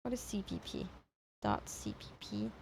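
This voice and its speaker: A woman's voice: extremely low pitch, wildly swooping pitch, moderate pace, creaky, a little hiss or hum. A woman chatting casually on a livestream, hesitant and thinking aloud as she repeats herself. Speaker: streamer reacting to horror videos.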